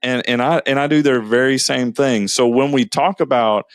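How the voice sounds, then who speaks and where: A middle-aged man, co-hosting a casual family talk show in a folksy, halting, self-deprecating way. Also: medium pitch, clean tone, no echo, studio quality. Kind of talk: casual conversational chat.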